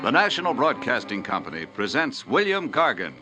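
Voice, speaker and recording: A man's voice: mid-range voice, sing-song, a little fast, over loud music. A man announcing a radio drama in an emphatic 1950s style.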